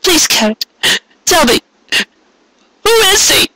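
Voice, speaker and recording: A man's voice: very high-pitched, very animated, slow pace, pushed and bright, no room sound, pitched above the performer's own voice, exaggerated voice, rough recording. A man voicing a distraught female cartoon pony, breathless, pleading and accusing in an amateur story reading. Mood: frantic, accusing. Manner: breathless, pleading, repeating herself.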